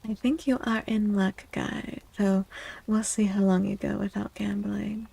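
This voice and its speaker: Soft-spoken woman with a creaky, mellow voice. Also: mid-range voice, little pitch movement, a little slow. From United States, born to Mexican parents. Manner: gentle, encouraging, replying to viewers. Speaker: VTuber streamer chatting with viewers. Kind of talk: soft-spoken ASMR casual chat. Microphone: close, dry microphone.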